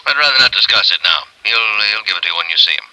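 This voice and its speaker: An actor playing an exasperated, blustery insurance boss in a 1950s radio drama, with an impatient, folksy way of talking. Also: male voice, low-pitched, animated, rapid-fire, pushed and bright, full character voice, low-quality recording. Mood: brisk, explaining. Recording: old radio broadcast recording.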